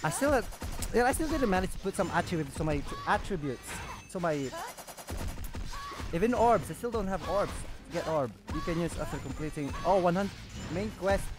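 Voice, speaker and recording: A man's voice: high voice, expressive, moderate pace. A man hosting a gaming video with casual, off-the-cuff commentary, swearing in an exasperated, sarcastic way. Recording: music behind the voice.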